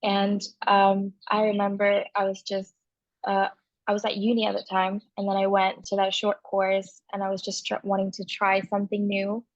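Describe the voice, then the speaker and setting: A woman's voice: medium pitch, dry recording, highs cut off. A young woman from Indonesia, a magazine founder talking as a guest in a casual interview, thoughtful and hesitant with many fillers.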